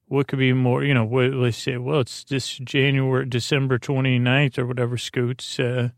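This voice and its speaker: Man, creaky voice, monotone, soothing bedtime podcast host, rambling and self-correcting, dry close sound. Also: mid-range voice, normal speed, no echo. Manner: meandering, hesitant, lulling delivery with self-corrections.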